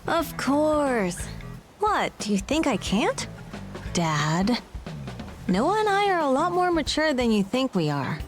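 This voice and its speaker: A self-assured daughter from a video game, with a high voice. She is teasing and capable, her pitch moving freely as she talks. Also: sing-song, slow, faint music behind, full character voice. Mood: confident.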